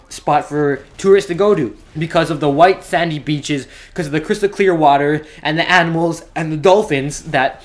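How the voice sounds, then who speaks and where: A young man from Canada telling a story in a casual, rambling way, often restarting his sentences. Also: a little high. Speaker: vlogger.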